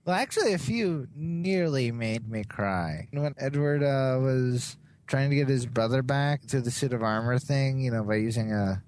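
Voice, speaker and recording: A man's voice: mid-range voice, moderate pace, darkish tone. A young man co-hosting a podcast, speaking earnestly and enthusiastically in casual chat. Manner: earnest, enthusiastic, uses fillers. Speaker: podcast co-host.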